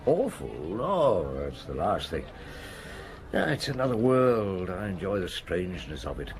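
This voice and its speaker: Deep-voiced, wry middle-aged detective. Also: male voice, sing-song, a little slow, slight background noise, full character voice. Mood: relaxed, playful. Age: 50s. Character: wry fifty-year-old French police detective on holiday. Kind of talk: audio drama dialogue and storytelling.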